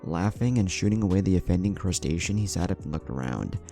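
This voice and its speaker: A man's voice: low-pitched, animated, quick pace, warm tone. A man reading an adventure story as a dramatic scripted narration. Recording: background music under the voice. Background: music.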